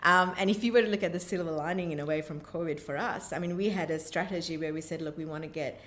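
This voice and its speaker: A woman's voice: low voice, fast talker, a bit bright. A woman answering interview questions about her work in an enthusiastic, emphatic way. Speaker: interview guest, vocational college representative. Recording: clear background noise, room ambience.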